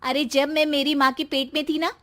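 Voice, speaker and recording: A woman's voice: high-pitched, flat delivery, fairly quick, fairly bright tone. A woman playing an impatient young wife who scolds and mocks in a comic story. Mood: scolding. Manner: scolding, mocking, exasperated. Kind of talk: acted dialogue in a Hindi comic story.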